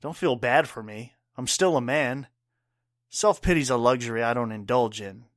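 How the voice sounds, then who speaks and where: A man's voice: mid-range voice, moderate pace, no echo, studio quality, close to an everyday voice. An actor playing a proud, wounded war veteran father and bartender in an audio drama, speaking earnestly and tersely. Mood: pained, proud.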